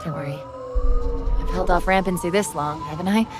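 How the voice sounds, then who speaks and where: A woman playing a quick-witted, protective military AI companion in a video game cutscene, speaking with urgency. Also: fairly low pitch, natural voice. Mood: strained reassurance.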